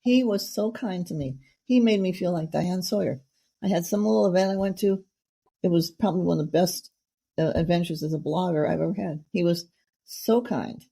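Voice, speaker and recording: Chatty woman host, rambles and gushes, low mellow husky voice. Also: normal speed. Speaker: podcast host. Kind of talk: casual interview chat.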